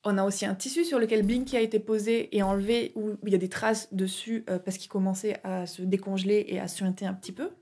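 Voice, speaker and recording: A woman's voice: mid-range voice, fairly even intonation, fast talker, few pauses, dark tone, breathy edge. A young woman casually explaining an artwork in a chatty way, with frequent filler hesitations. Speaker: YouTube art explainer. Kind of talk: casual educational video narration.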